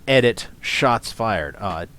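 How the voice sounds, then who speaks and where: Sardonic low-voiced man. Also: animated, slow pace, bright, forceful tone. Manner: sardonic, mocking, laughs often; trails off with fillers. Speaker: commentary host. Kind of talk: reaction commentary.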